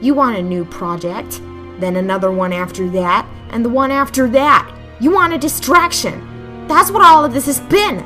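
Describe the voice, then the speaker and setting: A woman's voice: fairly high pitch, moderate pace, sharp tone. A woman acting an exasperated, outspoken character in an audio drama, pressing with pointed questions. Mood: accusing. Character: exasperated, outspoken woman confronting her stubborn partner. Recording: music behind the voice.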